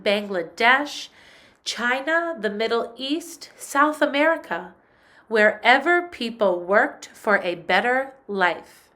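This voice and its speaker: A woman music teacher reading a picture book aloud in a slow, clear storytelling manner. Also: fairly high pitch, bright, forceful tone.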